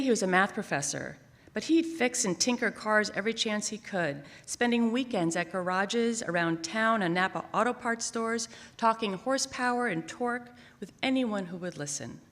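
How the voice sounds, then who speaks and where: A woman reads her own memoir piece live on stage. Her delivery is expressive and deliberate, with a mid-range voice that carries through a long hall reverb. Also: medium pitch. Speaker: writer reading own essay. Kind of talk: live dramatic personal-essay reading. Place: theater stage at a library, live event. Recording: echoing theater with long reverb.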